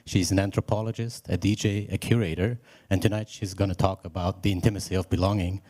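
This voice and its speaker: A man's voice: sits low, fairly even intonation, fast, darkish tone. A man hosting a festival evening, formally introducing the next speaker, with occasional fillers. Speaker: festival host. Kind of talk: event introduction.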